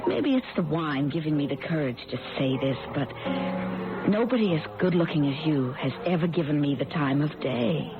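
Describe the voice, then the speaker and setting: A woman's voice: low-pitched, animated, normal speed. An actress playing a naive, shy, eager young working woman in a radio drama, hesitant and often echoing questions back in disbelief. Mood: shy, confiding. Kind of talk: vintage-style radio drama acting. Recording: tinny band-limited radio broadcast, background music.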